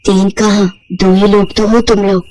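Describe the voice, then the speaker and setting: A woman voicing a menacing, man-hating demoness bride with eerie, drawn-out taunts, in a horror audio story. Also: medium pitch, level tone, a little mellow, natural voice. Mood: taunting.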